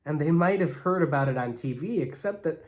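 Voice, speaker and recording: A man reading a children's story aloud in an animated, expressive way, as a church storyteller. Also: on the high side, brisk. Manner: expressive, acts out characters' lines.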